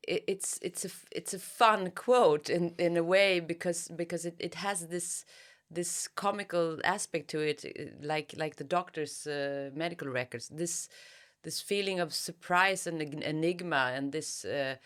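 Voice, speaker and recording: A woman with a notably low voice speaks very slowly in a literary interview. She is reflective and hesitant, often pausing to search for the right word as she answers as a guest author. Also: fairly bright tone.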